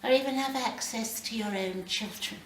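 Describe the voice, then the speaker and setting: A woman's voice: a little high, moderate pace, natural voice. A woman voicing a reasonable, slightly impatient female ghost guide from a short story, in a lecturing manner. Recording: roomy, distant mic, faint background noise.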